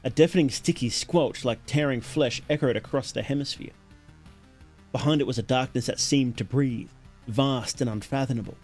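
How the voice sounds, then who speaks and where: A man narrating a horror story as a livestreamer, dramatic and solemn, in deliberate, weighted phrases. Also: mid-range voice, a little mellow, soft background music.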